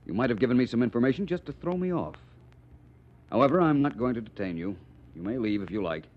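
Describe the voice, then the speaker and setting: An actor playing a suave, drily witty gentleman detective, polished and courteous, in 1940s radio style. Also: medium pitch, animated, quick pace, close to an everyday voice. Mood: measured, probing. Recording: muffled, hissy 1940s radio recording.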